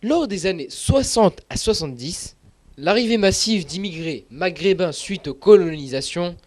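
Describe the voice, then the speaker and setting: A man's voice: high voice, lively intonation, normal speed, a little mellow. A man delivering a formal scripted explanation as a presenter in a student news-style report.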